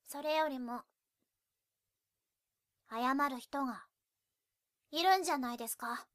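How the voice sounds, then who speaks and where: Very high-pitched earnest schoolgirl. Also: a little slow, fairly bright tone, no echo, clean studio sound. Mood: cool, reproachful. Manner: polite but blunt, nagging. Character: earnest, scolding high school girl, younger club member. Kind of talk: anime-like Japanese audio drama acting.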